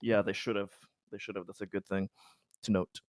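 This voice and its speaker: A man's voice: fairly low pitch, level tone, moderate pace, no room sound. A man chatting casually as a player in a tabletop game, swearing freely.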